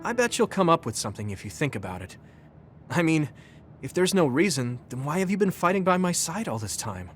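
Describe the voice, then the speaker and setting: An actor playing an earnest, caring young soldier in a video game, sincere and encouraging. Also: male voice, on the high side, big pitch swings, a little fast, soft background music. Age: young adult. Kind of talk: English video game voice acting.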